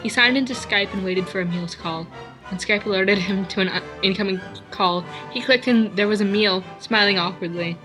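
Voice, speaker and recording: A woman's voice: medium pitch, normal speed, rough recording. A woman casually reading fan fiction aloud, stumbling over words now and then. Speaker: reader. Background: faint classical music.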